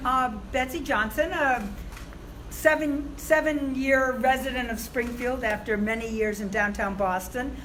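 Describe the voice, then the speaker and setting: A woman speaking in a halting, hesitant way as a community advocate on a panel. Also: on the high side. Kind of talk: panel presentation. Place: echoey conference room. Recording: echoey room, far from mic, loud background noise.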